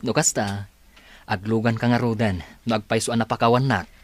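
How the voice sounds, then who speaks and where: A man's voice: on the low side, steady tone, moderate pace, natural voice. Coaxing young male suitor, acted by a man. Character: young heir and suitor, insistent yet respectful. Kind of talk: radio drama acting.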